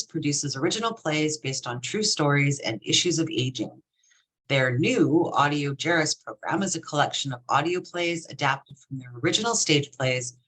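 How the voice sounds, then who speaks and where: A woman's voice: deep voice, a bit bright, poor audio. A woman hosting an online event, giving a prepared introduction for a presenter. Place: indoors, online video call.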